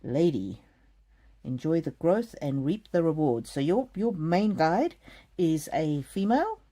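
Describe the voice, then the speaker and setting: Low, mellow-voiced woman reading oracle cards. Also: very animated, unhurried. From South Africa. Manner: gentle, soothing, talks straight to viewers by name. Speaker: card reader. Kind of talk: live oracle card reading.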